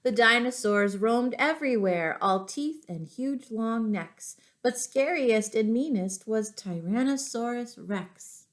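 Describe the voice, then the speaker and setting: A woman reading stories aloud to young children, expressive, pausing to ask them questions. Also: on the high side. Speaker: children's storytime reader and teacher.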